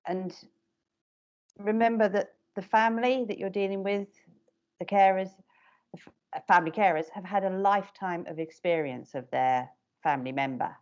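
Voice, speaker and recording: A woman's voice: mid-range voice, normal speed, a little mellow. A middle-aged woman and parent carer speaks firmly and directly on a panel video call. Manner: firm, direct and emphatic. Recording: dull lo-fi call audio.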